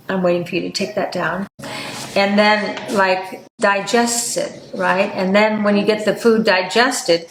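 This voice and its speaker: An older woman talks through a health topic in a chatty, animated way, often stopping for fillers. Her pitch sits in the middle range. The room is echoey, and faint noise runs under her voice. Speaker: podcast host. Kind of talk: casual health talk.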